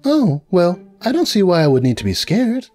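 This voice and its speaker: A man voicing a timid, sweet, softly morbid female pony with a meek, hesitant manner in a cartoon story. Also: high voice, big pitch swings, normal speed, faint music behind, pitched above the performer's own voice. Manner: meek, hesitant, gently pleasant.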